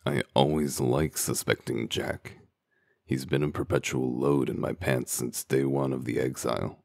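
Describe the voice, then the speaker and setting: A man playing a gruff, cynical, humorless wolf detective in a comic dub, with a deadpan, blunt growl. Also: measured pace, no echo, studio quality, heavily acted. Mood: dry, blunt. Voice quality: very deep, gravelly growl. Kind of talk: dramatic comic dub acting.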